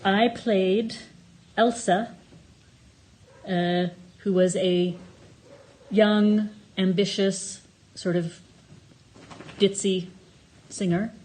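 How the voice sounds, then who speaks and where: A woman, a voice actor, describing her work haltingly in a casual interview. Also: mid-range voice, fairly even intonation, darkish tone, poor audio.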